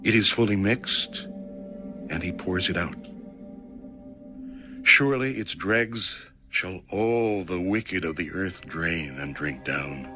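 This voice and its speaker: A man reading scripture aloud in a solemn, dramatic, measured audiobook style. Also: low voice, music in the background. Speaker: scripture reader.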